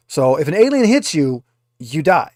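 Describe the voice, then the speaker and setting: Higher-pitched man running a tabletop game. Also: sing-song, dry recording, studio quality. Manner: halting, informal. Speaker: game master. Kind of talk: improvised narration of a tabletop game.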